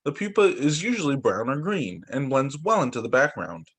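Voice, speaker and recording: A man's voice: mid-range voice, big pitch swings, moderate pace, a bit bright, breathy edge. A young man, a teacher, reading picture books aloud to children over a video call and breaking in with little asides and exclamations. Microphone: computer mic on a video call.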